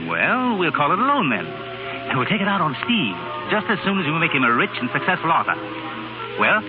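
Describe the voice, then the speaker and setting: An actor playing a kindly, protective American friend, coaxing and soothing, in 1930s radio drama style. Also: male voice, fairly high pitch, wildly swooping pitch, brisk. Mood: coaxing, reassuring. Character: kindly, protective male friend, warm-hearted and reassuring. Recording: muffled 1930s radio broadcast, music.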